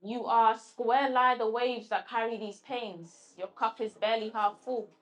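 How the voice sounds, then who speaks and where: Woman poet, halting and reflective. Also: fairly high pitch, fairly even intonation, muffled recording. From London. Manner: halting, searching for words with restarts when answering. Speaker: guest poet. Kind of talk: spoken word recital and interview answers.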